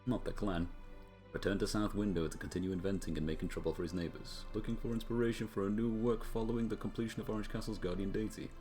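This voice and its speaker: Deep-voiced man reading over game music. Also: fairly even intonation, fast talker, a little mellow. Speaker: game streamer. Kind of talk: reading on-screen game epilogue text aloud with brief casual comments.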